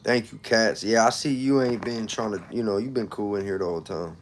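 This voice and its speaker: A man in his thirties with a fairly low voice talks casually on a livestream. He tells personal stories in informal street slang. His delivery is emphatic, with points often restated. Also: fairly quick. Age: 30s. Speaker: livestream host.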